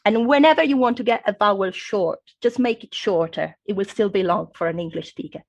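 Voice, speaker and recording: An Italian woman with an Italian accent speaks in a mid-pitched voice with a gravelly edge. She explains patiently like a teacher, often checking in with her listener. The sound is dry and close. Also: brisk, darkish tone, no room sound, highs cut off. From Italy. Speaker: guest, English linguistics professor. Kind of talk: explanatory interview talk.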